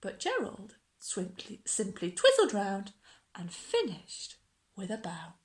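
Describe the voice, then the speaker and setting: A woman reading a children's story aloud like a teacher, with expressive, dramatic storytelling. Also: mid-range voice, takes it slowly.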